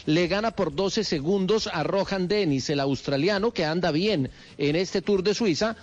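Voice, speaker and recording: A man delivers sports news on the radio in a fairly high voice. He talks fluently but stumbles into restarts now and then. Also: normal speed, dull audio.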